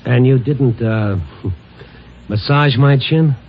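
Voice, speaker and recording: A man's voice: medium pitch, extremely slow, a little hiss or hum. An actor playing a worldly, kindly American newspaperman who narrates and acts in a mid-century radio drama. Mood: friendly, probing. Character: worldly, protective newspaperman narrating his own story, kindly. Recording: dull old radio recording with music.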